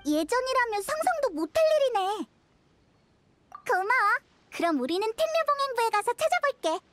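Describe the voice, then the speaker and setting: A woman voicing a tiny, cheeky, childlike fairy companion in a video game, expressive and quick to exclaim. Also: very high-pitched, no echo, full character voice. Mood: curious, chatty. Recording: loud music over the voice.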